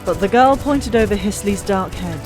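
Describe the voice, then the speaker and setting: A woman's voice: medium pitch, expressive, moderate pace. A woman narrating a fantasy audiobook in a dramatic, theatrical storytelling style. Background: music.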